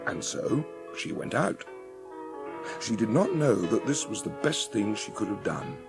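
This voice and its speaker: A man narrates a storybook in a fairly low, husky voice with a creaky edge. His scripted reading has music behind it. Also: sing-song, a little slow.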